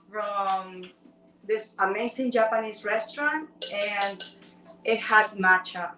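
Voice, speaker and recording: A woman's voice: mid-range voice, pushed and bright, recorded from a distance. Chatty Ecuadorian woman on a roomy live stream. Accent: Ecuadorian Spanish. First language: Spanish. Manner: chatty, playful, addresses viewers directly. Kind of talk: casual live-stream chat. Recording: roomy sound from away from the mic.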